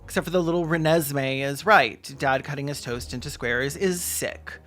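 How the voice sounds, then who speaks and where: A man with a fairly high voice hosts a film reaction video. He is sarcastic and snarky, leaning on dramatic emphasis and crude jokes as he comments. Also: moderate pace, fairly bright tone, soft background music. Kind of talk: sarcastic comedic movie commentary.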